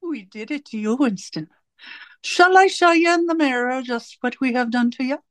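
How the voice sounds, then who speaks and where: Woman acting a cold, high-voiced female torturer. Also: no echo, lightly acted. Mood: cold contempt. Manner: deliberate, domineering, taunting. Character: cold, menacing female interrogator and torturer of a totalitarian regime. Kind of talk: radio drama acting.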